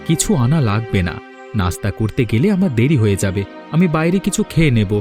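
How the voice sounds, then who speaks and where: A man's voice: medium pitch, big pitch swings, fairly quick, over music, close to an everyday voice. A man voicing a caring young husband in a cartoon story, speaking in a reassuring way. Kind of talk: cartoon voice acting.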